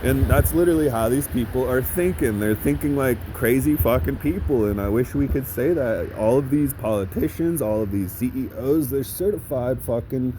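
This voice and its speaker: A man's voice: medium pitch, normal speed, hardly any pauses, noisy background. A man giving a blunt, profane monologue, full of sarcastic asides. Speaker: vlogger.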